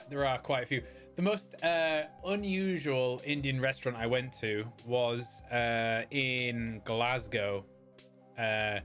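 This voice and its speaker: Male game streamer, middle-aged, mid-pitched voice, casual and rambling, halting delivery over music. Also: fairly bright tone. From United Kingdom. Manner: rambling, halting, often searching for words. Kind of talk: casual livestream chat. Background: music behind the voice.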